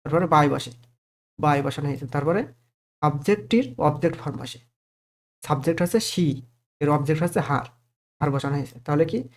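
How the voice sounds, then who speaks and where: A man's voice: on the high side, moderate pace, long pauses. A man giving a step-by-step grammar lesson as a teacher, posing questions and answering them himself and often checking that listeners follow. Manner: didactic, poses questions and answers them, frequently checks understanding.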